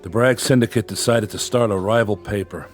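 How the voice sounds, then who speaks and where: Man as bitter newspaper editor, lowish voice, terse, drily sarcastic, radio drama with music behind. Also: fairly quick, close to an everyday voice. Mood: bitter, resigned. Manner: terse, deadpan, weary.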